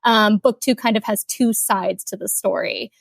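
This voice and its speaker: A young woman from Kuwait, an author talking casually in an interview, chatty with many fillers and soft laughs. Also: mid-range voice, normal speed, vocal fry, no room sound, clean studio sound. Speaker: author guest.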